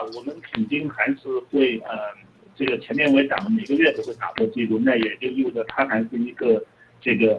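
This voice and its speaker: A man, a native Chinese speaker, answering analysts as a company executive in unscripted speech. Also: mid-range voice. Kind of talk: spontaneous earnings-call answers. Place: remote conference call. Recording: dull conference-call line with faint noise.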